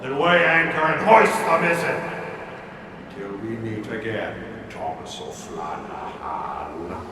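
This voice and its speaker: An actor playing a gruff undead pirate captain with commanding, scornful, salty old sea talk in a horror radio play. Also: male voice, on the low side, slow pace. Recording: echoey cavernous reverb, distant, noisy ambience.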